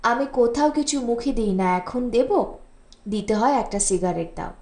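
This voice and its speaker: Woman reading as a blunt, teasing senior gentleman. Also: on the high side, lively intonation, moderate pace. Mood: amused teasing. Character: self-assured, teasing senior male lawyer and politician. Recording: roomy, echoing voice recording.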